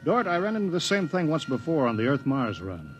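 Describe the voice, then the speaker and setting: An American actor playing a wary, commanding spaceship captain in a 1950s science fiction radio drama, giving clipped, authoritative orders. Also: male voice, fairly high pitch, huge pitch swings, a little fast. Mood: grave. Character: stern, wary male spaceship captain, commanding and duty-bound. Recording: muffled vintage radio recording, faint music.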